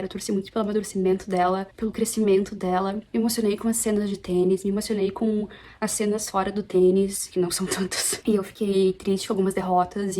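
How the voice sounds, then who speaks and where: A young woman from Brazil, chatting casually at home as she reviews a book, animated and often correcting herself. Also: medium pitch. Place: apartment at home.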